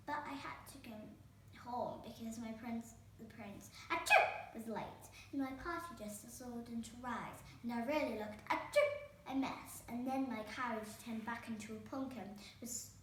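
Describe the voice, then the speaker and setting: A woman plays a bedraggled young fairy-tale girl. She sounds sweet, apologetic and sneezy in a high voice. The room echoes heavily around her. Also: moderate pace, a bit bright, a little hiss or hum, close to an everyday voice.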